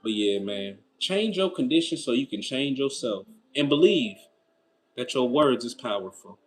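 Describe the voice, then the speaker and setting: A man's voice: medium pitch, lively intonation, normal speed, slight background noise. A man in his thirties hosting a casual livestream. He reads out viewer comments and answers them in a loose, slangy way, asking himself rhetorical why-questions. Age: 30s. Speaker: livestream host.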